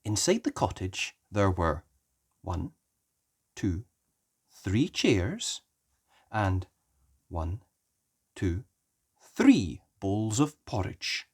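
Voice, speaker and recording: Female children's story narrator: very low register, unhurried, richly animated storytelling. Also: slightly rough.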